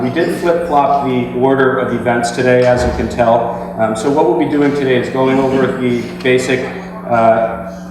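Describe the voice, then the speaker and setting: A man's voice: mid-range voice, background noise. A man hosting a school awards breakfast, giving hesitant opening remarks full of fillers. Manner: hesitant, many fillers, flat delivery. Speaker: event host, school staff. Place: school auditorium. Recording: distant mic in an echoey hall with music.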